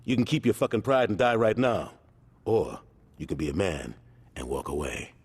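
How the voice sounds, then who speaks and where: A man's voice: low voice, normal speed, darkish tone, slightly rough. A man acting a commanding, persuasive street gang leader in a video game, talking in emphatic street slang. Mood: firm.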